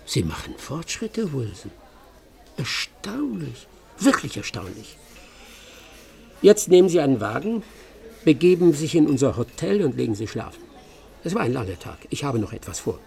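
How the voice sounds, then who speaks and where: An actor playing a proud, sharp-witted English master detective in a radio drama, self-assured and lecturing. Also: male voice, medium pitch, very animated, moderate pace, creaky, long pauses. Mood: condescending. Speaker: detective Herlock Sholmes.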